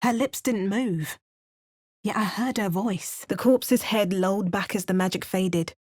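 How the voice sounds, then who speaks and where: Young woman doing game voice lines, calm even narration, a bit wry. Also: medium pitch. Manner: wry, measured first-person narration. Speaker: game player character.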